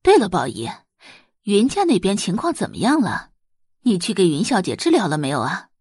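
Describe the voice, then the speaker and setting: Sneering snobby mom, a woman putting it on, husky edge, voice jumps all over. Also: medium pitch, huge pitch swings, moderate pace, a little mellow, dry recording, clean studio sound. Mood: curious. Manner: sneering, contemptuous. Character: scornful middle-aged mother, sharp-tongued and snobbish.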